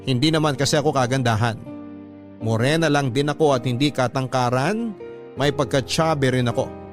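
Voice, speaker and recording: A man's voice: mid-range voice, normal speed, music in the background. A man with a Filipino accent narrating a letter sender's love story in a radio storytelling style, in the first person.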